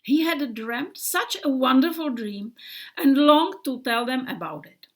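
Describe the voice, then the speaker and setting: A woman from the Czech Republic reading her children's book aloud in a slow, expressive manner. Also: high voice.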